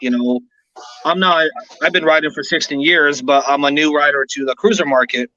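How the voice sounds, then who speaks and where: A man's voice: on the high side, moderate pace, bright, forceful tone, no room sound. A man in his thirties, a co-host of a casual chat show, talkative and curious, with loose, wandering phrasing. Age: 30s. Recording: dull lo-fi audio.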